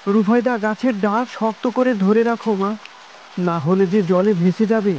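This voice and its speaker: Casting: frightened, stranded father, male actor, high pitch, melodramatic, muffled audio with faint noise. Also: fairly even intonation, unhurried, darkish tone. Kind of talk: melodramatic cartoon voice acting. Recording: dull sound with faint background noise.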